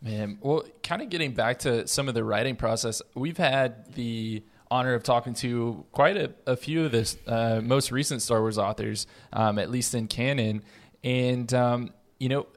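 Male podcast host, medium pitch, relaxed conversational questioning for an informal interview. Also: normal speed.

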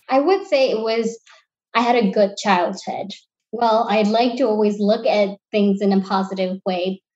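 A woman's voice: mid-range voice, darkish tone. A woman in her thirties, raised in Sri Lanka, answers interview questions at a reflective, searching pace. She restarts her sentences as she works out what to say. Her voice comes through slightly dull and lo-fi. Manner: thoughtful, searching for words with restarts. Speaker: interview guest.

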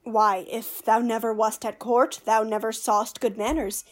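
A woman's voice: fairly high pitch, little pitch movement, moderate pace, close to an everyday voice. A woman playing a witty, pompous male court fool who teases with mock logic in a Shakespearean radio play. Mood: mock-solemn, teasing.

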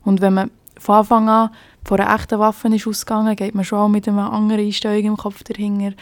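A woman's voice: medium pitch, monotone, fast talker, dry recording. A young woman from Switzerland with a Swiss German accent, a police officer telling of her work in a podcast interview, hesitant and full of fillers.

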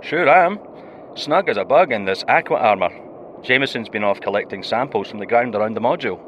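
A man's voice: medium pitch, animated, brisk, highs cut off, noisy background, natural voice. A man playing a wry, homesick Scottish astronaut and diver in a naturalistic audio drama, talking colloquially. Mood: playful banter. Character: homesick, joking Scottish astronaut and deep-sea diver, male.

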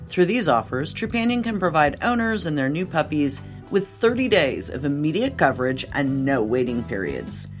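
A woman hosting a podcast, with an enthusiastic, emphatic way of talking and scripted promo reads. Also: low-pitched. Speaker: podcast host.

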